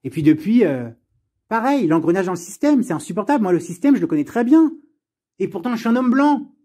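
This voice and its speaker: A high-pitched male voice with a soft, mellow tone. He rants off the cuff in a blunt, sarcastic way, sounding fed up and swearing freely. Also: very animated, brisk. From France. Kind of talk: unscripted personal rant.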